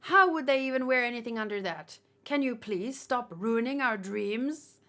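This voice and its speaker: A woman's voice: a little high, lively intonation, normal speed, sharp tone. A woman giving informal, opinionated commentary as she hosts a casual live read-along and talks with chat. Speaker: manga read-along host.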